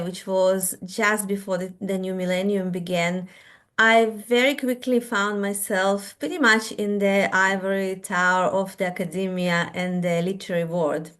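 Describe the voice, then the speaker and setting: A woman's voice: medium pitch, a bit bright. A middle-aged woman from Israel, born in Russia, with an Israeli accent, speaking thoughtfully as a guest in a panel discussion and often restarting her sentences. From born in Russia, raised in Israel.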